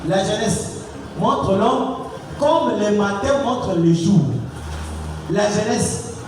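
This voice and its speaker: High-voiced young preacher in an echoey church. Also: male voice, noisy background. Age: young adult. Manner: repetitive exhorting, call-and-response. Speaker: youth preacher. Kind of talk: sermon. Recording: echoey hall from far off, music behind.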